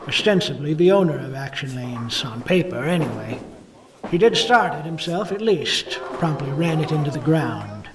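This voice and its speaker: An actor playing a cynical, world-weary police detective, narrating a noir radio play in a wry, hard-boiled way. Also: male voice, fairly high pitch, recorded from a distance, noisy background.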